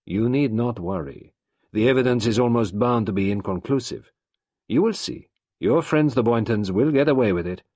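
A man voicing a grave, cynical middle-aged French doctor in a storybook reading, deliberate and world-weary. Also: lowish voice, big pitch swings, slightly rough, no room sound, clean studio sound, heavily acted.

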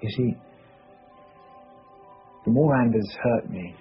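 An actor playing a sharp, blunt consulting detective with a British accent, measured and dry. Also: male voice, medium pitch, slightly rough, muffled recording, soft background music. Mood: confiding. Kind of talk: TV drama acting.

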